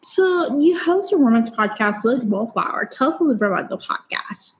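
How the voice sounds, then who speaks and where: A woman's voice: on the high side, very animated, fairly quick. A woman from Puerto Rico hosting a book podcast, talking in a chatty way and laughing often. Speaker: podcast host. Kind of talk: casual chat.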